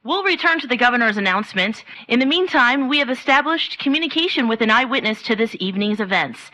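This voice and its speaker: A woman plays an earnest field reporter in a comedic 1930s-style radio drama. Her voice is fairly high and crisp-edged, and she describes things formally and solemnly in detail. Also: expressive, quick pace, bright, forceful tone, poor audio. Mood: earnest, reporting.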